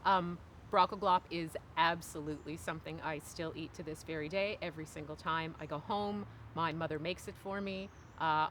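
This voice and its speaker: A woman's voice: low voice, a bit bright, slight background noise. A woman in her forties from Cape Breton, Canada, giving thoughtful, emphatic, conversational answers as an interview guest. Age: 40s. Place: outdoors on a wooden bench by woods.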